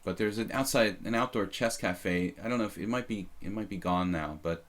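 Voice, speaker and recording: Deep-voiced man rambling over a chess stream. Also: a little fast, a bit bright. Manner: rambling, self-deprecating, many fillers and restarts. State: slight leftover cough. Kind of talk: casual live-stream commentary.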